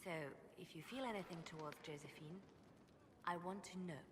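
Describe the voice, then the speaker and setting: A measured, cautioning spymaster with a lowish voice, voiced by a woman. She drops into near-whispers, and the sound carries a long reverb with loud ambience beneath it. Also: background noise, natural voice. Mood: stern. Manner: measured, quiet and cautioning, drops to hushed tones. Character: guarded female spymaster, protective of friends. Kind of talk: video game dialogue.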